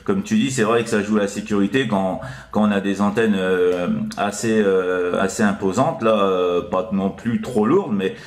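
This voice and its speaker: Low-voiced man, halting, in a roomy space. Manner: halting, repetitive, full of verbal tics. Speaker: amateur CB radio operator. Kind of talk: casual radio chat. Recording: roomy, echoing room sound.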